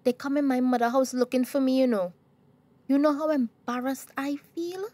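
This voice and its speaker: A worried young tobago woman in a radio play, high voice, indignant, dry close sound. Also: moderate pace, dark tone, no room sound. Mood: anxious, upset. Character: wronged, anxious young woman protesting her innocence. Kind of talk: radio drama acting.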